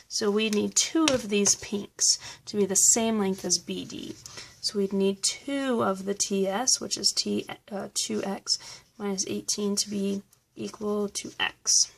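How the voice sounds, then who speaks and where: Woman math teacher walking thru review problems, kinda hoarse, raspy low fry in her voice. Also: a little hiss or hum. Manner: patient, step-by-step explaining. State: slightly hoarse, losing her voice. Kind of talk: recorded math review lesson.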